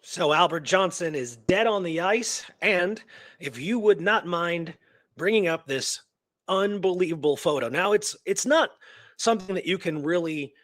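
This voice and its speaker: A man's voice: high voice, expressive, unhurried, a bit bright, no echo, clean studio sound. A man hosting a talk show, telling a historical story in a casual, colloquial, earnest and engrossed way. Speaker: radio show host telling a true story.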